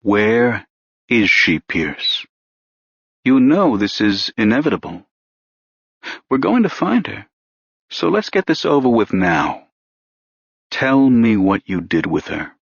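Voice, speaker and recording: A man's voice: medium pitch, big pitch swings, slow, pauses often, fairly bright tone, slightly rough, no room sound, heavily acted. A man voicing a male police detective who presses and mocks a suspect, speaking in a pushy, sarcastic way in an audiobook reading. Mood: pressing. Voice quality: mocking, pushy. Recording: dull, lo-fi dry recording.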